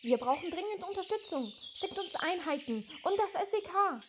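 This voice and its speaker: An actress playing an eager, businesslike young police trainee in an audio drama. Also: female voice, very high voice, animated, moderate pace, noisy background. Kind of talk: amateur German radio play acting. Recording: loud outdoor street ambience, birds, vehicles.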